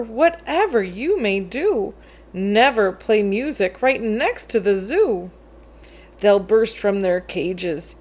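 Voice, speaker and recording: A woman reading a rhyming children's picture book aloud, with expressive, deliberate phrasing that leans on the rhymes. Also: on the high side. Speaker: read-aloud storyteller.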